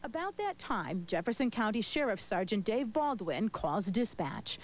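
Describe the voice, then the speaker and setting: A woman's voice: mid-range voice, big pitch swings, moderate pace, poor audio. American woman reporting television news. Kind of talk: broadcast news report narration.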